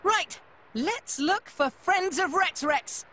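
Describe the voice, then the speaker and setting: A woman's voice: very high-pitched, lively intonation, slow pace, heavily acted. A woman voicing a small, round, furry male creature inventor in a game, eager and cheeky, with childlike broken speech. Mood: eager, secretive. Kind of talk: video game cutscene acting. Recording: loud music behind the voice.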